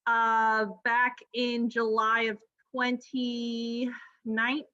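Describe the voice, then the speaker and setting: Bright, friendly woman physician in her thirties, relaxed talk with ums; slightly muffled recording. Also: a little high, takes it slowly. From Miami, Florida, United States. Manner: friendly, frequent ums and restarts. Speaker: pediatric gastroenterology trainee presenting. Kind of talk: informal presentation over slides.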